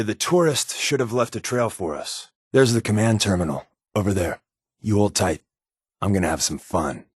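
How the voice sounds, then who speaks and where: A man's voice: lowish voice, husky, dry recording, clean studio sound. A man playing a wry, sardonic secret agent companion in a video game, talking casually and confidingly with a wisecracking edge. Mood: calm, sly. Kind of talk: conversational video game voice acting.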